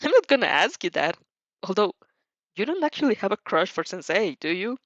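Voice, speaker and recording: A woman plays a cheeky young hero rival in an audio story. The speech is playful and challenging, with lively pitch movement, on a dry, slightly dull recording. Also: fairly quick, no room sound. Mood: teasing.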